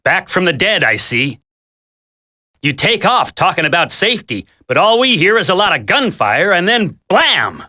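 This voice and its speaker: A man's voice: high-pitched, sing-song, normal speed, pushed and bright, exaggerated voice. A man voicing a brash, impatient squad leader in a video game, slangy, wisecracking and bossy. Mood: brisk.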